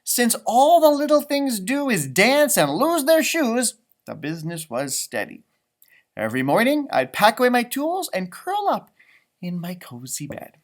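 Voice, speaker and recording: A man's voice: extremely high pitch, wildly swooping pitch, a little slow, pitched above the performer's own voice, heavily acted. A man voicing a small, grumpy, boastful old leprechaun telling his own story in a storybook read-aloud. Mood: content, proud. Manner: feisty, boastful, theatrical storytelling.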